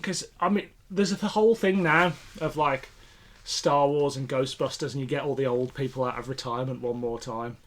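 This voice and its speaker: A man with a medium-pitched voice talks as a podcast co-host. His style is casual and conversational, with informal, opinionated phrasing. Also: steady tone, normal speed, few pauses. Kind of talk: casual chat reviewing a radio play.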